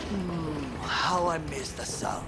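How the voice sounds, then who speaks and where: A man's voice: fairly high pitch, expressive, slow pace, gravelly, exaggerated voice. A man playing a stern, proud, weary Japanese ex-bodyguard in a video game, with a Japanese accent and a formal, terse, grave manner. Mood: wistful. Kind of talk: dramatic game dialogue. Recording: loud background vehicle and ambience noise.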